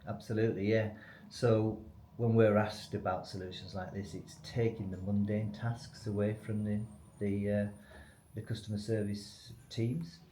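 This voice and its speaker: A man's voice: fairly low pitch, flat delivery, a little slow, mellow. A man speaking as a company expert in an interview, explaining business solutions conversationally. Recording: echoey room, faint background noise.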